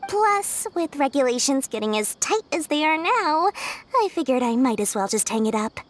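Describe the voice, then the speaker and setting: A woman's voice: sits high, big pitch swings, moderate pace. A woman voicing a shrewd, playful young female merchant in a video game, chatty and sly. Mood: sly, confident. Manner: sly, chatty, smooth salesmanship.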